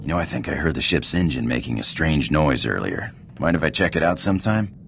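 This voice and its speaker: A man playing a cocky, roguish space pirate in a video game, with a gravelly drawl, casual slang and a flirtatious swagger. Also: lively intonation, fairly quick, noisy background, full character voice. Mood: smooth, flirtatious. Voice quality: gravelly, very deep voice. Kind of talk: video game character acting.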